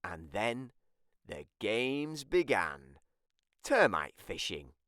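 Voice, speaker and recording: A storybook reader, giving animated scripted narration for children. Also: medium pitch, drawn-out words, no echo, studio quality.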